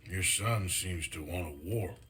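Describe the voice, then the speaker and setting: An actor playing a gruff, weary, remorseful outlaw gunslinger in a video game, terse and plain-spoken, with an American Western drawl. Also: male voice, low voice, unhurried, pushed and bright. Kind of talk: cinematic video game acting.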